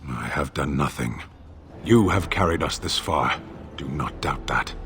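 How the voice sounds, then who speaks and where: Very deep, solemn stoic warrior. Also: male voice, animated, measured pace, creaky, a bit bright, a little hiss or hum, full character voice. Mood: grave, steadfast. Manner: terse, grave, formal. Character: solemn, stoic male warrior companion of few words. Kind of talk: video game voice acting.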